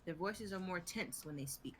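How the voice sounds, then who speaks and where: A woman's voice: lowish voice, moderate pace, slight background noise. A young woman reading stage directions as scripted narration in a live drama reading. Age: young adult.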